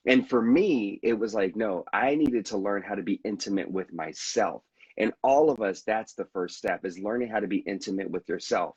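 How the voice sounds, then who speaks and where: A man with a husky, lowish voice tells his own story in an earnest, reflective way. He often stumbles and restarts a sentence. The sound is dry and close, with the dull, lo-fi quality of a video call. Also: moderate pace, darkish tone, no room sound. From United States. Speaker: veteran guest. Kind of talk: candid conversational storytelling.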